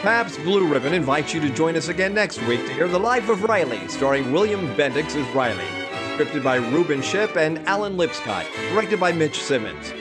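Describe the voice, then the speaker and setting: A man performs an upbeat old-time radio announcer with a fairly high voice that swings through the lines. His delivery is scripted, smooth sponsor patter from a 1950s broadcast, with music playing behind him. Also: big pitch swings, moderate pace. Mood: formal, cheerful.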